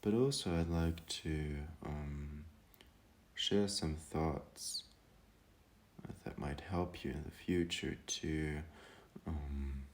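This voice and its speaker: A man guiding a meditation in a calm, gentle manner, speaking hesitantly with long pauses. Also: rumbling low. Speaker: meditation guide. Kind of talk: guided meditation talk.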